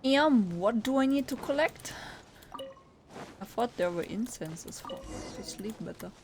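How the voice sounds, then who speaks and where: Woman gamer, fairly high voice, casual reactive commentary, faint background noise. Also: expressive, unhurried. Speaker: gaming streamer.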